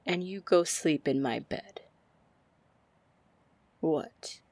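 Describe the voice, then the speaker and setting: A woman playing a confident, commanding, flirty female pirate captain in an ASMR fantasy roleplay, breathy and deliberate. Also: animated, darkish tone, dry recording, exaggerated voice. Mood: firm, protective. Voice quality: breathy, low, husky. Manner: slow, deliberate, smooth-talking. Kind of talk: ASMR roleplay acting, fantasy audio drama.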